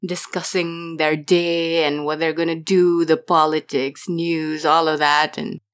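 Low-voiced woman from Nepal, chatty. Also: flat delivery, a little slow. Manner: chatty, many fillers and restarts. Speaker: interview guest. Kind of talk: casual interview chat.

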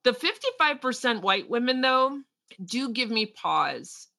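A woman co-hosting a podcast, chatting casually about politics in a lively way, with quick asides and frequent laughs. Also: a little high, gravelly. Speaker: podcast co-host.

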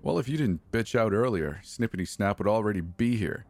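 A man's voice: animated, a little fast, a little mellow, no room sound. A man voicing a cruel, taunting teenage girl in a spoken horror story. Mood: angry. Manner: mocking, shrill and sneering.

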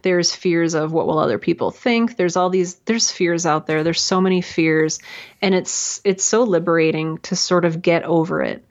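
A woman guest in a casual interview, giving advice in a chatty, animated way with frequent fillers. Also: lowish voice, moderate pace, highs cut off. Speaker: guest, writer and audio drama creator.

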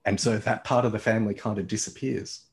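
A man hosting a reflective conversation, speaking haltingly with many pauses and restarts as he frames his questions. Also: lowish voice, fairly even intonation, a little mellow. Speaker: host.

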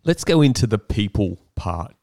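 A man interviews his guest and asks probing questions in a relaxed, conversational way. His voice is very deep. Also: moderate pace. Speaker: interviewer, host.

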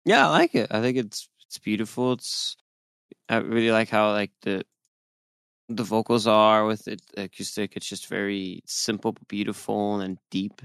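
A man co-hosting a music podcast, enthusiastic and blunt, swearing casually in relaxed chat. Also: on the low side, moderate pace, vocal fry, clean tone, no room sound. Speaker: podcast co-host.

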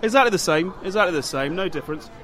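A man's voice: high-pitched, animated, fast talker, fairly bright tone, a faint crowd. A man hosting a podcast with casual banter. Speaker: podcast host. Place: out at a London pub.

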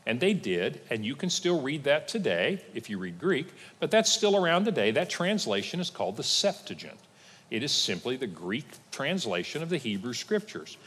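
A man's voice: normal speed, breathy edge, big-room sound. A man preaching a teaching sermon as pastor, explaining patiently with dry asides. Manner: explanatory, with lively pitch swings and dry asides. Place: church sanctuary.

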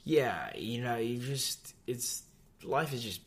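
A young man from New York, a podcast guest, chatting casually in a rambling, animated way with many fillers. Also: medium pitch, slow, slightly rough. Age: young adult. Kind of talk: casual chat.

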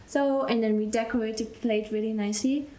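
A young woman from Belgium, a mission school student, answering interview questions in an unhurried way and often pausing to find her words. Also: fairly high pitch, steady tone, mellow. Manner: unhurried, searching for words, restarts.

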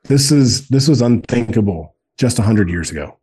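A man's voice: medium pitch, animated, moderate pace, dark tone, slightly rough, low-quality recording. A man in his forties speaking earnestly in a casual podcast conversation, often repeating words for emphasis. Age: 40s. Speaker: guest, men's group co-founder.

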